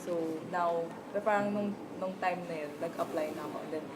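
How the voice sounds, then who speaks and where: Hesitant young Filipina student. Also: female voice, lowish voice, fairly even intonation, brisk, background noise. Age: 20s. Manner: hesitant, lots of fillers. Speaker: student, pageant runner-up. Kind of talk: interview answers.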